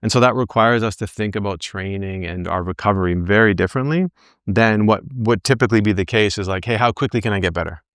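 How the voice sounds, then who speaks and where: Man, early forties, deep voice, dry close sound, informal motivational coach, conversational delivery. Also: fast, fairly bright tone, clear, resonant tone, no echo. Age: early 40s. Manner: conversational, acts out his own thoughts as quotes, often tags points with "right?". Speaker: back pain coach leading a group session. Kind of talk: informal motivational talk to a group.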